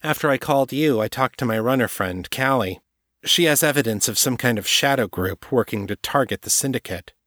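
A man's voice: medium pitch, expressive, fairly quick, fairly bright tone, no echo, studio quality, voice pushed up, close to an everyday voice. A man voicing a blunt, frustrated young woman police detective who speaks glumly. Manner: blunt and glum.

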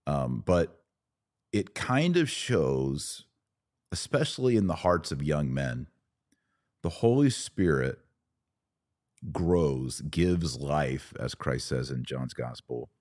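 Guy with a really deep low voice, podcast guest, kinda halting and earnest. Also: lively intonation, darkish tone. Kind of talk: conversational podcast discussion.

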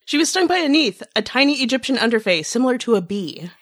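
A brisk, defensive woman doctor acted out by a female reader, with a fairly high, very expressive voice. She is clipped and insistent, as in a story reading recorded dry. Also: dry recording. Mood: defensive explaining. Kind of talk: dramatic fan fiction reading.

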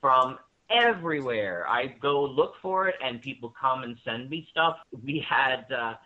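A man's voice: mid-range voice, expressive, unhurried. Enthusiastic man, tinny phone-like sound. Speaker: audio drama show host, English teacher. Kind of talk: casual discussion. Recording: narrow, tinny phone-like line.

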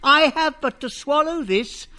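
An actor playing a crotchety, frightened old male miser in a theatrical radio drama, blustering and stammering excitably. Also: extremely high pitch, measured pace, heavily acted. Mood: scoffing. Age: older. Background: dramatic music.

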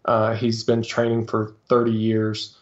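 A man's voice: fairly low pitch, monotone, a little slow. A man speaking as a guest coach in a podcast interview, hesitant, with many fillers and restarts. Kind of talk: casual interview talk. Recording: slightly dull lo-fi sound.

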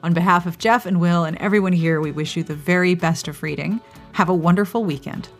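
A woman's voice: lowish voice, fairly quick, soft background music. A woman hosting a podcast, reading show notes in a playful, enthusiastic way with conversational asides. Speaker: podcast host. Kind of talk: chatty scripted podcast outro.

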